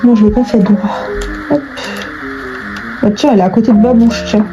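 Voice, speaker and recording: A woman's voice: on the high side, a little fast, dull audio, music in the background. A young adult woman chatting casually in slangy, informal language, thinking aloud as she crafts. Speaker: online video creator. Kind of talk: casual chat while crafting.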